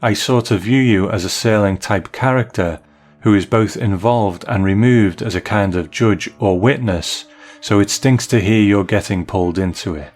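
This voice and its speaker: A man's voice: lowish voice, moderate pace, a little mellow. A man hosting a podcast, reading out listener mail and replying in a casual way. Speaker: podcast host.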